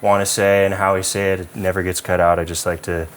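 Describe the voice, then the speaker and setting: A man's voice: deep voice, little pitch movement, quick pace, a little hiss or hum. A man hosting a casual interview, talking in a friendly, conversational way. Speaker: podcast host.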